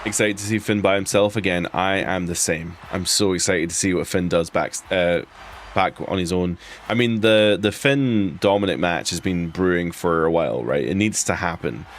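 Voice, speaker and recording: A man's voice: low-pitched, moderate pace, a little hiss or hum. A man with an Edinburgh Scottish accent chatting casually while playing a wrestling game, rambling and restarting his sentences. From Scotland. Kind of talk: casual live commentary on gameplay.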